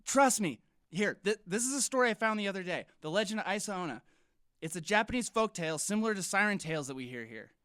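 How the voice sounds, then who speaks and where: An eager, dramatic teenager played by a young woman in an audio drama. The voice is bright and medium-pitched in a very dry recording. The delivery is earnest, expressive and insistent. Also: fast, no room sound, natural voice. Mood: eager, ominous. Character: eager, dramatic teenage friend who believes in monsters.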